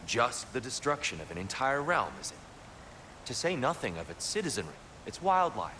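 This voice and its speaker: An actor playing a weary, guilt-ridden war god in disguise in a video game cutscene, speaking in a measured, grave way and arguing against war. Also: male voice, medium pitch, sing-song, sharp tone, in a large hall, slight background noise.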